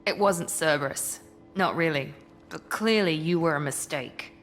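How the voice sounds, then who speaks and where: A woman's voice: low-pitched, measured pace, faint music behind. A woman voicing a cool, haughty officer in a video game, controlled and condescending. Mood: disdainful.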